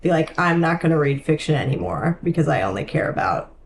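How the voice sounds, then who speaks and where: A woman with a slightly low voice talks casually as a podcast co-host. She reasons out loud in an informal way. There is faint noise in the background. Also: animated, a little fast. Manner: informal, thinking aloud. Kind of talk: casual book discussion.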